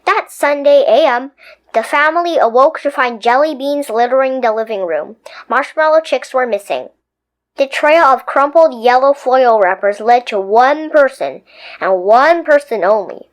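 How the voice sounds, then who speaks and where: A woman reading a children's picture book aloud in an expressive, playful storytelling manner. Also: high-pitched, measured pace. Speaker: storybook reader.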